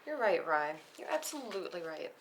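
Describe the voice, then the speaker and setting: A woman's voice: fairly low pitch, sing-song, fairly quick, slight background noise, poor audio. A woman acting a restless, teasing and reckless friend in a conversational film script read, recorded live in a room. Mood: restless, earnest.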